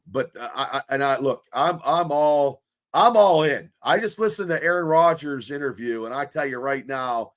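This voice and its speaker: A man co-hosting a sports podcast, talking in excitable, rambling bursts full of restarts, with a stuffy nose. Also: mid-range voice, moderate pace. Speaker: podcast co-host. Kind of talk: casual sports podcast chat.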